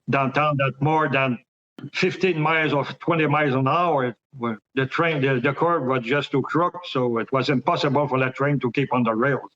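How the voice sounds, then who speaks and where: A man's voice: medium pitch, fairly bright tone. A man recounting events conversationally, on a slightly dull, lo-fi recording.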